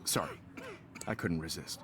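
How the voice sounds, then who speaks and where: A man's voice: deep voice, animated, moderate pace, over music. A man playing a confident, teasing photography teacher in a video game, lecturing in an articulate, wry way.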